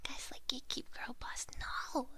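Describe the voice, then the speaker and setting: A woman streamer whispering ASMR close to an ear-level mic, playfully teasing her viewers, with a stuffed-up nose. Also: very high-pitched. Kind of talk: ASMR story time.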